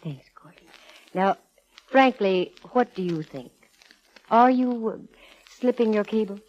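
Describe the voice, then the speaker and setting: A woman's voice: mid-range voice, a little slow, darkish tone, close to an everyday voice. Actress as cool, wry lady private eye, vintage radio. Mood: cool, probing. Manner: wisecracking, cool, slangy. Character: wry, self-assured female private eye. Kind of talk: 1940s radio detective acting and narration. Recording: muffled vintage 1940s radio recording.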